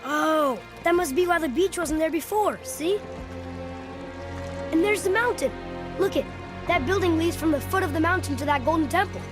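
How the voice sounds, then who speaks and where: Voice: very high-pitched, moderate pace, music in the background. An actor voicing a curious, eager young boy in a video game, talkative and observant. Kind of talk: naturalistic video game acting.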